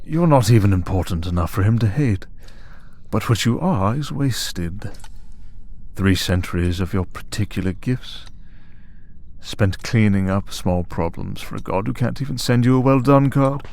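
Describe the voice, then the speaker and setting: A man playing a smug, tempting servant of a dark master in an ASMR audio drama, speaking in a deliberate, taunting, persuasive way. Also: lowish voice, expressive. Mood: coolly needling. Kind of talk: ASMR audio roleplay acting.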